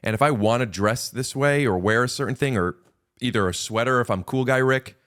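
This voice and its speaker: A man's voice: mid-range voice, brisk, fairly bright tone. A man in casual podcast conversation as a guest, rambling with restarts and repeated words.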